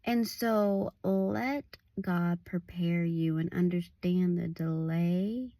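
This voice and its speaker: Slow-talking raspy woman evangelist. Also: fairly low pitch, dark tone, no room sound. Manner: loose and conversational, many "like"s and restarts. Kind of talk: casual devotional vlog. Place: outdoors at a park overlook.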